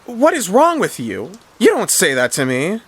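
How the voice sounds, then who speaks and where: A man's voice: medium pitch, huge pitch swings, measured pace, a bit bright. A man voicing an indignant, easily offended boy who whines and protests in a cartoon story.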